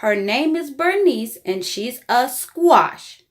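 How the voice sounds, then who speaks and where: A woman's voice: on the high side, lively intonation, slow, voice pushed up. A woman voicing a stubborn, devoted little girl from a storybook with earnest, emphatic delivery. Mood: defiant, proud.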